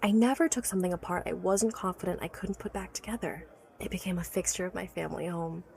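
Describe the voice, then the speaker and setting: A woman's voice: lowish voice, fast talker, gravelly, darkish tone. A woman playing an obsessive, learned inventor who recounts her life's work earnestly and articulately in an audio drama. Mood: nostalgic, enraptured. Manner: articulate, earnest, stammers when awed by her subject.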